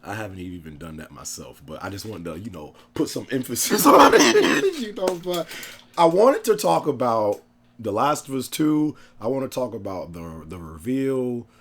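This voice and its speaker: A man with a cold, his voice hoarse, chatting excitedly about a video game on his channel, slangy and laughing often. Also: medium pitch, moderate pace. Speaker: gaming YouTuber. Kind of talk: casual gaming chat.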